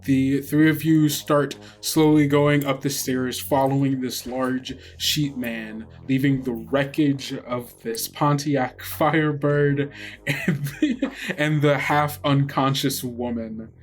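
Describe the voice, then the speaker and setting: A man's voice: medium pitch, steady tone, slow pace. A man narrating a tabletop game as game master, in a conversational storytelling style.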